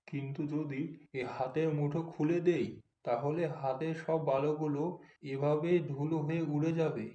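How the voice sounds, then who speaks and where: Guy doing kind religious dad in a cartoon, teachy n patient, slightly high male voice, lots of room echo. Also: mellow, close to an everyday voice. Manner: patient, didactic, measured. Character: gentle devout father giving patient moral guidance. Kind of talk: Islamic cartoon voice acting, moral lesson. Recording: roomy, echoing sound.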